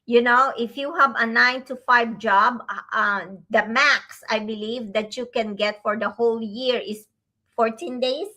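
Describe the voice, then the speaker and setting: Older woman from the Philippines, co-host; high-ish voice, slow earnest delivery. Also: steady tone, sharp tone. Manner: slow, earnest, with frequent fillers. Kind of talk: casual online talk show.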